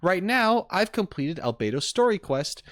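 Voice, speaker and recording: A man chatting casually on a livestream as he reacts to game trailers, rambling in an animated, self-deprecating way. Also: high-pitched, moderate pace, no room sound, studio quality.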